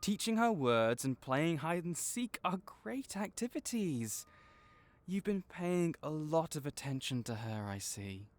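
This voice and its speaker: A man playing a kind, patient veterinarian for magical creatures in a comforting audio roleplay, explaining things gently and encouragingly. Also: a little high, sing-song, a little slow. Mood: upbeat, approving. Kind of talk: comforting audio roleplay, one-sided conversation.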